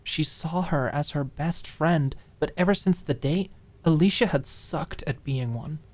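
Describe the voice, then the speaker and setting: A woman with a low-pitched voice narrates dramatic fiction as an audiobook. Her delivery is expressive and deliberate, and she lingers on the important phrases.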